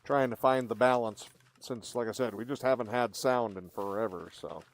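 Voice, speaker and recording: A man's voice: mid-range voice, normal speed. A man livestreaming a game, chatting casually and in a rambling way with his viewers. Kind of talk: casual live game-stream chat. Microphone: streaming microphone with gain maxed out. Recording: clear background noise.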